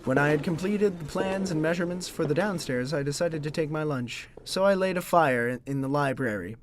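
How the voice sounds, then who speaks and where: An actor playing an impertinent, bumbling young gentleman, narrating a gothic parody audio drama in formal, wordy style. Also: on the high side, a little fast, background noise, close to an everyday voice. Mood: earnest storytelling.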